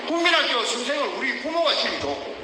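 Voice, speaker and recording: An older man with a Gyeongsang Korean accent gives heated, emphatic testimony, often shouting rhetorical questions. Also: very high voice, big pitch swings, fast. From South Korea. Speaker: whistleblower. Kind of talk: emotional testimony. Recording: echoey distant room with noise.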